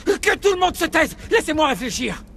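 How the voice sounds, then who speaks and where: Volatile, sneering company man with swooping pitch. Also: very animated, moderate pace, gravelly, a bit bright, a little hiss or hum, full character voice. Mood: panicked, threatening. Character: ruthless, agitated male corporate official holding colonists hostage. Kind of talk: dramatic French game dub acting.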